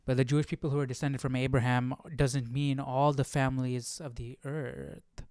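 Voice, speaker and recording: A man's voice: medium pitch, level tone, normal speed, darkish tone, clean tone, dry recording, studio quality. A man hosting a live Bible study, casually reading aloud and questioning what he reads as he thinks it through. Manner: questioning, musing to himself.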